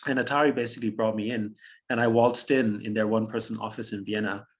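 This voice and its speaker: A man's voice: fairly low pitch, fairly even intonation, fast talker. A German-speaking man in his fifties from Austria, a guest on an interview, telling his story reflectively, chuckling often and restarting phrases.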